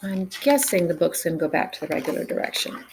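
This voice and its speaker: A woman reading a picture book aloud to children in an expressive way, with dramatic pauses and chatty asides to the listeners. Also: on the low side, gravelly, background noise. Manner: animated, dramatic pauses, engages young listeners with questions.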